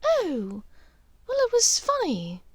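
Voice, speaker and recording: A woman's voice: very high-pitched, wildly swooping pitch, slow pace, dry recording, voice pushed up, exaggerated voice. A woman voicing a skittish young man posing as his genteel mother in a storybook, airy and forcedly polite. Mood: airy, uneasy.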